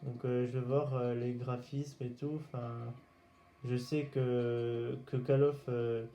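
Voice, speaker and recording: A man hosts a casual gameplay video in a flat, unhurried voice. He speaks haltingly, often searching for the next word, and the room around him is noticeably echoey. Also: mid-range voice. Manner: hesitant, halting, searching for words. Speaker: gaming video host. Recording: roomy echo, faint background noise.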